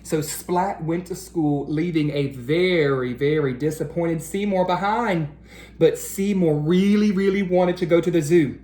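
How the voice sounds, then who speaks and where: A woman reading a picture book aloud to children as a teacher, in a deliberate, engaging storytelling style. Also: on the low side, mellow, reverberant. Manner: deliberate, engaging, asks listeners questions. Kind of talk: animated read-aloud storytelling.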